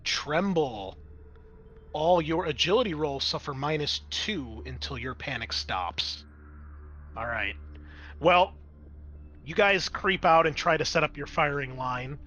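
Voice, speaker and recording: A man's voice: on the high side, expressive, takes it slowly, pauses often, bright, forceful tone. A man running a tabletop roleplaying game as game master, improvising scene narration and explaining dice rolls.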